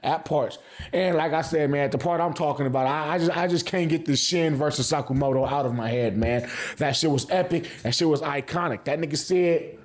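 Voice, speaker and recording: Man, high voice, anime reviewer, casual opinionated vlog, slangy, emphatic, sweary. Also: brisk. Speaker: anime reviewer and channel host.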